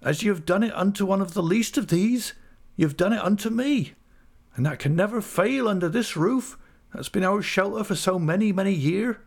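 A man's voice: sits high, normal speed, creaky, voice pushed up. A man voicing a tearful pleading widow. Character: grieving older woman, a tearful widow.

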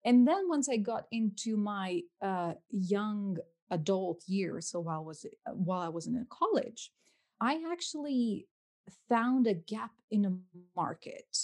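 A woman in her thirties speaks with a Lithuanian accent in a dark, relaxed tone. Her pitch sits in an average range as she gives conversational answers as a coach being interviewed on a podcast. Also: measured pace. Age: 30s. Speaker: podcast guest, life and business coach.

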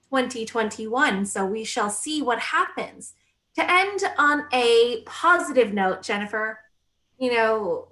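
A woman hosts an interview in a polished, upbeat way. Her voice is bright and slightly high. She speaks like a practiced presenter. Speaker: host. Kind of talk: interview hosting.

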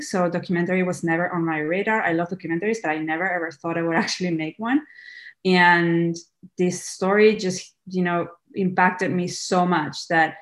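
Earnest woman film director. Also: on the low side. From Peru. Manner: earnest, restarts words, thinks aloud. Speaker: documentary film director, guest. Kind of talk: podcast interview.

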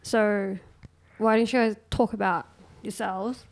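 A woman's voice: mid-range voice, slow pace, creaky. A young woman, born in Hong Kong and raised in Sydney, co-hosting a casual podcast chat and steering the talk with plenty of fillers. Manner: relaxed, leads the talk with frequent fillers. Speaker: podcast co-host.

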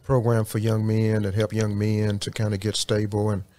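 A man from Houston, Texas, guesting on a podcast and telling about his work in a hesitant way, with frequent pauses and restarts. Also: on the low side, monotone, dark tone. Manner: hesitant, many fillers and restarts. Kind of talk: interview answers.

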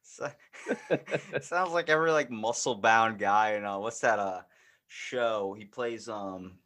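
A man's voice: on the low side, slow pace, a bit bright. A man co-hosting a podcast, chatting casually and telling stories in a rambling, informal way. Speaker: podcast co-host. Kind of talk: casual chat.

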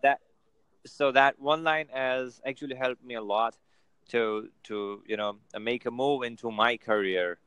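A man's voice: mid-range voice, fairly even intonation, a little slow, a bit bright, smooth, no echo, dull audio. A man giving casual motivational advice on a video call, filling his talk with "you know" and "right?". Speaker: guest.

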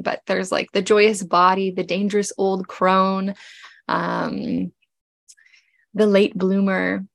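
A woman of forty hosting a podcast, speaking gently and from the heart with many pauses while she gets over a cold. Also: medium pitch, gravelly, no echo. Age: 40s. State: congested, getting over a cold. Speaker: podcast host. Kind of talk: personal reflective podcast talk.

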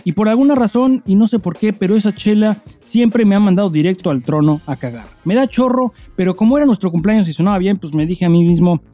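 Mellow-voiced Mexican man co-hosting a podcast. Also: sits high, fast, few pauses, faint music behind. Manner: swears freely, slangy. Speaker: podcast co-host. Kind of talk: casual chat and listener-mail reading.

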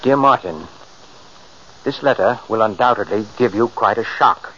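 A man's voice: fairly low pitch, expressive, normal speed, a bit bright. An actor playing a shaken man confiding a mystery, grave and emphatic, in 1940s radio style. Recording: dull, noisy old radio recording.

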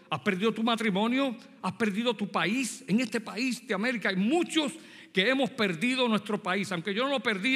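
Puerto Rican preacher in a reverberant hall. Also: male voice, sits high, pushed and bright. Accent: Puerto Rican Spanish. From Puerto Rico. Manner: addresses the congregation directly, with rhetorical questions. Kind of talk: sermon with personal story.

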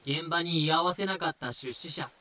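A man narrating a fan-made voice drama in a measured, explanatory way. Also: fairly high pitch, pushed and bright.

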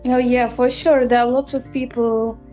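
Eager woman host, fairly high and mellow, over faint music. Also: flat delivery, normal speed, low-quality recording. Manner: eager, enthusiastic. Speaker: podcast host. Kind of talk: casual interview chat.

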